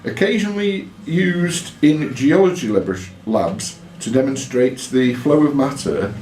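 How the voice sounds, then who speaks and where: A man's voice: medium pitch, animated, unhurried, darkish tone, slight background noise. A man hosting a quiz, reading out questions in a live, informal way and judging the answers. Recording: roomy echo, faint music behind.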